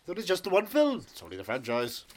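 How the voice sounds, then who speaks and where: A man's voice: high voice, wildly swooping pitch, quick pace, a little hiss or hum. A man playing a pompous, rambling old British actor as a podcast host, boastful and mischievous in improvised comic banter.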